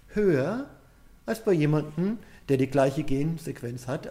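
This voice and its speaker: Mellow-voiced man giving a coaching talk. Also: medium pitch. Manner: conversational, addresses the listener directly with rhetorical questions. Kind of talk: explanatory coaching talk, informal and direct address.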